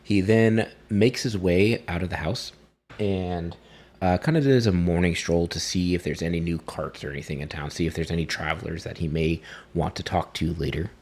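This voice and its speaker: A man's voice: low-pitched, normal speed, a little mellow. A man in a tabletop game, casually describing his character and piecing ideas together hesitantly as he speaks.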